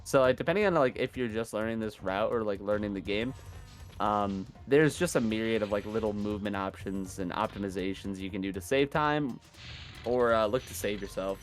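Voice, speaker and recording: A man commentating a live gaming marathon in a casual, chatty, self-deprecating way, his voice hoarse from days of yelling. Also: fairly low pitch, fairly quick, soft background music.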